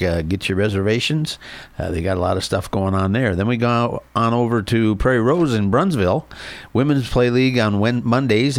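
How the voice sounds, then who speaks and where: A man co-hosting a local radio golf show, reading course listings in a plain, unhurried way with fillers. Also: fairly low pitch, dry recording.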